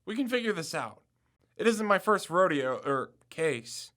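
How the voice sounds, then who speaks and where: A man's voice: high voice, sing-song, a little slow, sharp tone, exaggerated voice. A young man playing an eager, confident college student turned amateur detective in an audio drama. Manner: confident, insistent.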